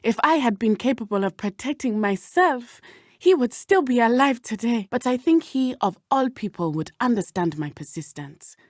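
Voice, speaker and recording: A woman's voice: on the high side, lively intonation, no echo. Earnest young southern African witch, close and dry. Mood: determined. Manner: measured, precise, formal diction. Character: earnest, brave teenage witch, loyal schoolgirl companion. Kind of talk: video game character dialogue.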